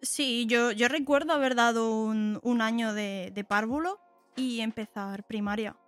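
A woman co-hosting a podcast, chatting in a relaxed way about her own past. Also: a little high, a little slow, soft background music. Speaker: podcast co-host. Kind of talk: casual interview chat.

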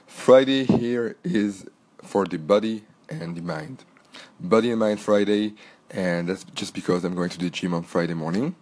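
A middle-aged man with a French accent and a very low voice, a little hoarse from a cold. He hosts his own podcast, talking alone in an earnest, motivational way and often repeating phrases for weight. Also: moderate pace, darkish tone. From Belgium. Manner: earnest, repetitive and motivational, with restarts. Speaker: podcast host. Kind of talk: solo podcast monologue.

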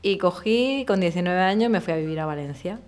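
A woman in her thirties from Murcia with a softened Murcian accent, a guest talking candidly and casually in an interview, full of slang and fillers. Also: on the low side, lively intonation, fairly quick, clear, resonant tone. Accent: softened Murcian Spanish. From Murcia, Spain. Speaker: podcast guest, illustrator.

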